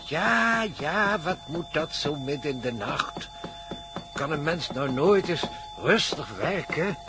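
A man's voice: fairly high pitch, lively intonation, music in the background. An actor playing a grumbling but friendly professor in a Dutch radio play, drawing out his words. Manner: grumbling, drawn-out. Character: grumbling, friendly male professor disturbed at night.

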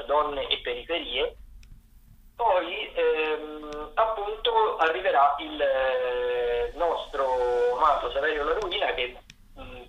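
A man with a bright, forward voice presents radio arts listings. He speaks hesitantly, often reaching for the next word. The recording is roomy and echoey, with faint noise behind him. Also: mid-range voice, highs cut off. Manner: halting, hesitant, searching for words. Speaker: radio theatre correspondent. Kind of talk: spoken listings of theatre and dance events.

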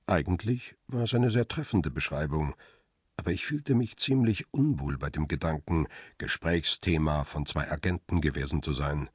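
Male narrator, very low pitch, husky, dry booth sound, introspective. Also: lively intonation, normal speed, dry recording, heavily acted. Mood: reflective. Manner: quiet, introspective. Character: middle-aged photographer, uneasy and reflective. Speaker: narrator and protagonist. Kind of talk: first-person radio drama narration.

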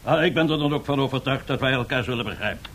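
An actor playing a pompous, boastful Italian fascist dictator in a radio drama, husky and given to grandiose speechmaking. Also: male voice, mid-range voice, fast, a bit bright. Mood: grandiose welcome. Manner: grandiose, self-satisfied, rhetorical speechmaking. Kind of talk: 1970s radio drama acting.

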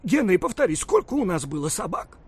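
An anxious hunter, swinging, higher-pitched voice. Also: male voice, very animated, slightly rough, full character voice. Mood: agitated. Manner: worried, halting and stammering when agitated. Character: Bill, anxious, uneasy male frontier hunter and sled driver. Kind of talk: Russian audio drama acting.

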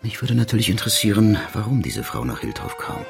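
A man's voice: fairly low pitch, very animated, fast talker, creaky, music in the background. An actor playing a blunt, probing male chief inspector who presses his questions in a radio crime drama. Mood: insistent, pressing. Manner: confronting, insistent interrogation.

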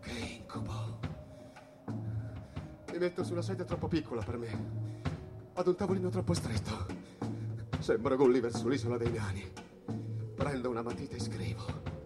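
An actor playing a troubled, bitter jazz musician confined in a hospital, in a dramatic theatrical monologue. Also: male voice, mid-range voice, normal speed, long pauses, over music, lightly acted. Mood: hushed, haunted. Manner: dramatic, swinging from hushed murmurs to shouted outbursts.

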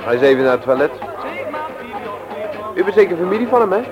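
A chatty café regular in a radio play. He speaks quickly and breezily, dully recorded amid crowd chatter. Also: on the high side, big pitch swings, highs cut off, music in the background. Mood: breezy, curious. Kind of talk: Dutch radio drama acting.

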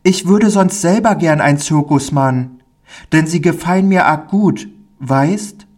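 A man voicing a timid, high-pitched little village boy. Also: slow, a little mellow. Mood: shy longing. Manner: anxious, naive, dialect-tinged childlike speech. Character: timid, wide-eyed little village boy with childlike eagerness.

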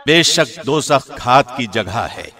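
Male narrator for scripture translation: middle register, formal and measured, trained radio-presenter delivery. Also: mid-range voice, animated, sharp tone, faint music behind. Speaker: translation reader, radio host.

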